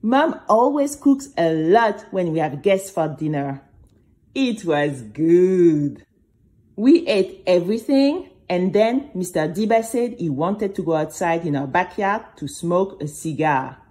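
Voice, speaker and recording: Woman as young girl narrator, dreamy, nervous, expressive, unhurried, swooping pitch, children's audio drama. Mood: chatty. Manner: expressive, dramatic, chatty asides to listeners. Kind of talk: scripted first-person storytelling for children.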